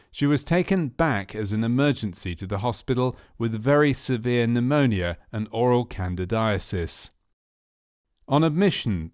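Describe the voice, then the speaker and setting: A man reading scripted documentary narration for a medical training film in a measured, factual manner. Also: on the low side, no room sound, studio quality. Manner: measured, factual delivery of clinical case history.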